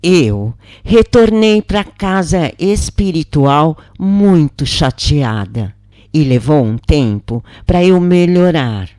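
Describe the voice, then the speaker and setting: A woman, narrating a spiritual story in the first person with a slow, expressive reading. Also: low-pitched, no room sound, clean studio sound. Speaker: channel narrator.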